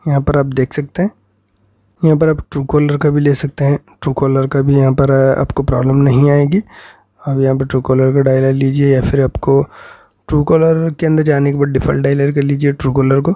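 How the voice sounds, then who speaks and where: A man's voice: mid-range voice, mellow, no room sound, clean studio sound. A man with an Indian accent giving an informal tutorial voice-over as a tech host, repeating himself and often addressing his viewers directly.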